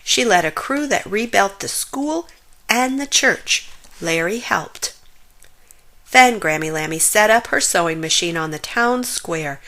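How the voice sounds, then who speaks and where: Female storybook reader, very animated intonation, unhurried pace, expressive emphasis for young listeners. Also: mid-range voice. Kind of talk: children's picture book read-aloud.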